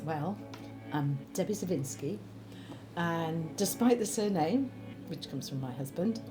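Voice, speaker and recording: A woman's voice: low voice, lively intonation, rough recording. A woman, thoughtfully reminiscing in a documentary interview. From Wales. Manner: thoughtful, unhurried, warmly reminiscing. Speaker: interviewee, spinner and knitter. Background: background music.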